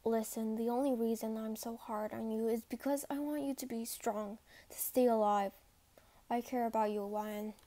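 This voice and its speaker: Woman voicing a stern, gruff male corporal. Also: fairly high pitch, little pitch movement, normal speed, few pauses, dark tone, voice pushed down, natural voice. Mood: quiet, caring. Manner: curt, commanding, intimidating.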